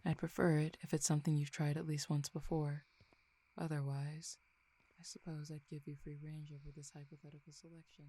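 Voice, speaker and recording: Woman doing a low gravelly fallen cupid, bossy and teasing, slow and close, drops to whispers. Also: level tone. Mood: coaxing. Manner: slow, measured, intimate, with soft whispered stretches. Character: commanding, teasing fallen Cupid, not human. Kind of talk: audio roleplay addressing the listener.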